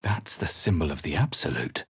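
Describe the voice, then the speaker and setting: A husky, wary adventurer hero. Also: male voice, rumbling low, lively intonation, moderate pace, dry recording, studio quality, heavily acted. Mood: wary, wry. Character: guarded adventurer hero, wry, suspicious, casts Latin spells. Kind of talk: video game character voice lines.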